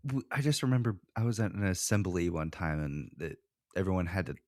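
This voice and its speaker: Man, deep voice, dry close sound, casual slangy podcast co-host. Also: brisk, a little mellow, dry recording. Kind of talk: casual chat.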